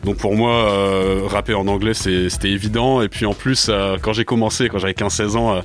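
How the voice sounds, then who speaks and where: A man's voice: fairly low pitch, fairly even intonation, brisk. A man in his twenties, a native English speaker, answering a radio interview in a studio with many hesitations and fillers. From France. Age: 20s. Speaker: rapper guest.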